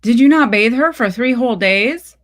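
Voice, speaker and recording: A woman's voice: a little high, animated, moderate pace, fairly bright tone, pitched above the performer's own voice. A woman voicing a weary, evasive, critical husband in a story reading, casual and dismissive. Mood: accusing.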